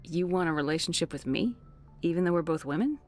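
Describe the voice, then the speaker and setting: An actress playing a steady, resolute human starship commander in a video game, speaking in a firm, measured way. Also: female voice, lowish voice, soft background music, natural voice. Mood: questioning.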